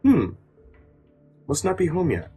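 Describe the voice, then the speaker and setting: A man's voice: fairly high pitch, wildly swooping pitch, measured pace, mellow, soft background music, pitched above the performer's own voice. A man voicing a puzzled, wry, affectionate young mother in a story, musing in an exaggerated way. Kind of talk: acted quotes in a story.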